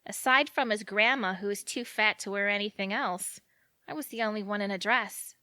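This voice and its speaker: A young small-town woman played by an actress in an audio drama, dreamy and earnest. She chats freely with a defiant romantic streak, at a middling pitch. The sound is dry, with no room around it. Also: normal speed, bright, forceful tone, no room sound, lightly acted. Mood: dreamy, proud. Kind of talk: naturalistic audio drama dialogue.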